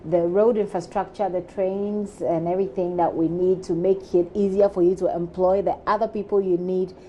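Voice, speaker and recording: A woman's voice: on the low side, little pitch movement, moderate pace, mellow, muffled recording, slight background noise. A woman with a Ghanaian accent presenting and interviewing in a conversational news style.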